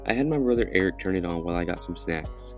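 A man's voice: low voice, expressive, fast talker. A young man reading his own horror story as a podcast host, in dramatic first-person narration. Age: young adult. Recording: music behind the voice.

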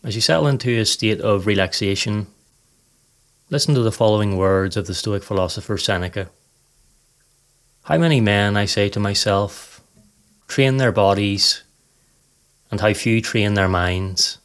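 A man narrating a scripted guided meditation in a calm, measured, soothing way. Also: on the low side.

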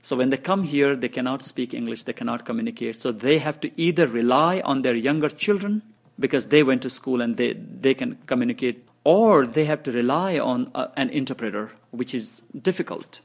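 A man from Bhutan whose first language is Nepali, giving measured interview answers about his refugee resettlement work. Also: mid-range voice, gravelly. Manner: measured, explanatory, counts points off in order.

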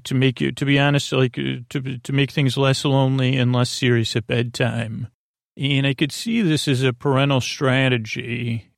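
Guy podcast host rambling at bedtime, creaky voice, keeps wandering off track, very dry sound. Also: mid-range voice, no echo. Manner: meandering, halting, trails off and loses his thread. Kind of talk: rambling, improvised bedtime monologue.

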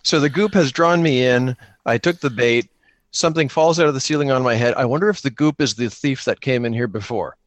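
A man's voice: medium pitch, fairly quick, no echo. A man chatting casually and jokingly with dry humour as he plays an improvised tabletop role-playing game.

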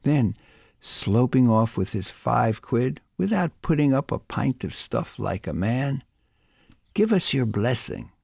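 A man voicing a belligerent, hard-drinking Dublin man who rants coarsely and mockingly, with a gravelly, husky, lively voice. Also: medium pitch, slow, no room sound, clean studio sound, exaggerated voice. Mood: scornful rant.

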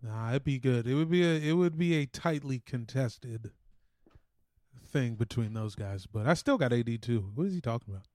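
A man's voice: medium pitch, animated, moderate pace, darkish tone, no room sound, clean studio sound. A man co-hosting a podcast in casual sports banter, blunt and prone to swearing. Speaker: podcast co-host.

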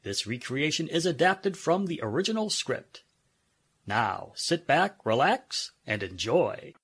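A male announcer reads a scripted radio drama introduction. His voice is fairly high and husky, with lively rises and falls. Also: measured pace. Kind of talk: scripted narration.

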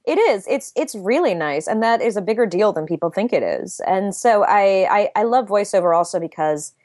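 A young adult woman guest talking thoughtfully in an interview, with a soft, soothing voice and frequent restarts. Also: medium pitch, expressive, moderate pace, no room sound, studio quality. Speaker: interview guest, writer and voice actor. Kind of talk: casual interview chat.

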